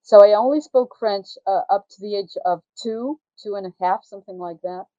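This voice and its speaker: Older woman guest from Greece, mellow tone, halting and reflective, dry muffled lo-fi audio. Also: mid-range voice, dry recording. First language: Greek. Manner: reflective, halting, laughs while recalling. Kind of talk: casual interview. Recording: dull lo-fi call audio.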